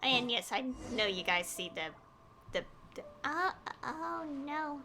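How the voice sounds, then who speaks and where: A woman's voice: on the high side, very animated, takes it slowly, creaky, pushed and bright, faint music behind. A woman livestreaming a video game, chatting casually in a rambling, giggly way. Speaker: game streamer. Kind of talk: casual livestream chat over gameplay, reading game dialogue aloud.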